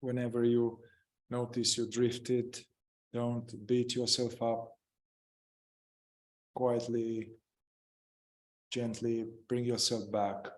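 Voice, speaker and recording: A man guiding a meditation in gentle, unhurried short phrases, improvising as he goes and leaving long pauses. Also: mid-range voice, little pitch movement. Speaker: meditation guide. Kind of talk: guided meditation, improvised spoken instruction.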